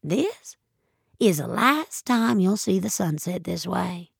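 A woman's voice: on the low side, lively intonation, slow, creaky, husky, dry recording, studio quality, exaggerated voice. A woman voicing a blunt, gloomy old country grandmother in an audio story. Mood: bitter, resigned. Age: older. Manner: folksy, blunt, fatalistic musing.

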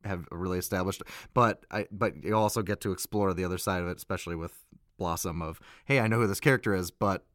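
A man's voice: sits low, fast talker, fairly bright tone, no echo, studio quality. A man talking casually as a voice actor being interviewed. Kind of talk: casual chat.

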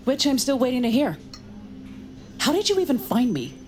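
An actress playing a wary, grieving widow in an audio drama, blunt and sardonic in naturalistic delivery. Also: female voice, sits high, very animated, normal speed, darkish tone. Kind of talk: naturalistic audio drama acting and first-person narration.

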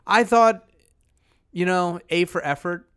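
A man's voice: high-pitched, slow pace, fairly bright tone, clear, resonant tone. A man co-hosting a podcast, chatting casually. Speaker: podcast co-host. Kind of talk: casual banter.

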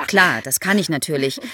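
A woman's voice: on the low side, expressive, unhurried, sharp tone, no room sound, studio quality. A woman explains history to young listeners as an expert guest, in a didactic way, posing questions as she goes.

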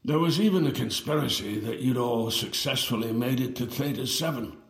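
An actor playing an aged, weary twin brother in an audio drama, speaking in a halting, wry, reflective way. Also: male voice, mid-range voice, reverberant, natural voice. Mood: measured, wry. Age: older. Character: aged, weary twin brother reunited with his lost sibling.

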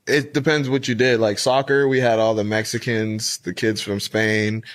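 Laid-back Bahamian-American man, low voice. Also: flat delivery, moderate pace. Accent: Bahamian, partly Americanized. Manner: laid-back storyteller, fills with "like". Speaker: podcast guest, athlete. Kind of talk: casual chat.

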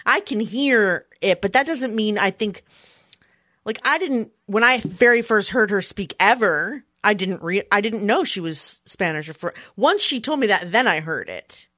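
Podcast host: woman, Philadelphia accent, mid-range voice, dry close mic, animated and teasing. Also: medium pitch, normal speed, no room sound. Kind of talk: casual conversation.

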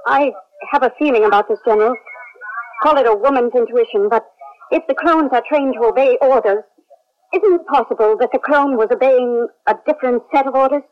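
A woman's voice: high-pitched, fairly quick, natural voice. A woman acting a questioning military major in a 1970s radio drama, polite and probing. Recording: dull 1970s radio recording.

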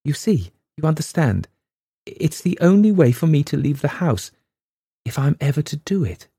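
A man's voice: on the high side, very animated, warm tone, husky, no echo, studio quality, voice pushed up, full character voice. Man putting on a breathless frightened young woman. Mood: pleading. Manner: breathless, hurried, pleading.

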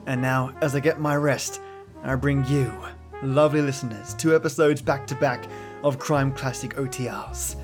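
A man's voice: mid-range voice, fairly even intonation, moderate pace. A man hosting a podcast, speaking in an effusive, affectionate way to his listeners in a scripted introduction. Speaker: podcast host. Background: music behind the voice.

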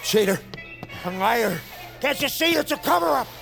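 High, gravelly, unhinged prisoner. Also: male voice, big pitch swings, normal speed, music in the background, heavily acted. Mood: enraged. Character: unhinged paranoid male prisoner, furious over his board game. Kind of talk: audio drama acting.

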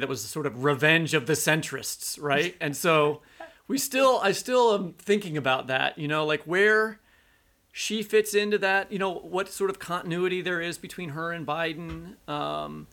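A man's voice: on the high side, creaky, pushed and bright. An American man co-hosting a podcast in a conversational style. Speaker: podcast co-host.

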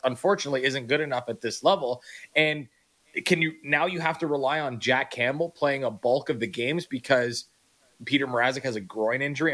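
A man's voice: medium pitch, a bit bright. A man hosting sports radio, asking a guest long and detailed questions about hockey.

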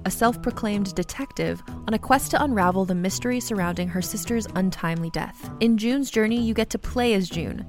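Woman reading an ad over soft music. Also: medium pitch. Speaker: ad announcer. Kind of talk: scripted promotional ad read.

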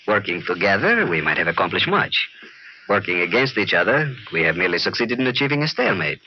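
Suave male Latin villain, deep and formally courteous with open menace; muffled old radio drama sound. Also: sing-song, a little hiss or hum. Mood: smooth menace. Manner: formal, mock-polite, deliberate. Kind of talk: 1950s radio drama acting.

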